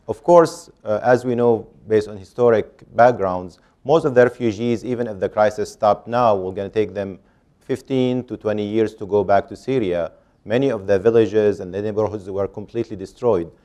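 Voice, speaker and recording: A man on a panel answering audience questions, speaking haltingly with many fillers and restarts. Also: lowish voice, warm tone.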